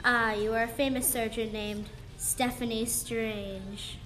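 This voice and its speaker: A woman's voice: on the high side, fairly even intonation, takes it slowly, a bit bright. A teenage girl playing a stern, wise old woman mystic in a school radio play, speaking in a solemn, formal, portentous way. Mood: solemn, probing. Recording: music under the voice. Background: music.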